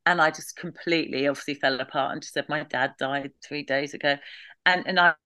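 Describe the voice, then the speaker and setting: A woman in her thirties recalling family loss in an interview, halting with many restarts, now and then laughing through it. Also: deep voice, fairly even intonation, bright, forceful tone, no room sound. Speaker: podcast guest. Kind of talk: candid emotional interview.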